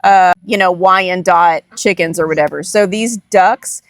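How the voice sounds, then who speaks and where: A woman's voice: fairly low pitch, a little slow, no echo. A woman in casual, unscripted conversation outdoors as host of a family farm vlog. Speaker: host, parent chatting with their child. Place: outdoors on a farm, sitting and chatting.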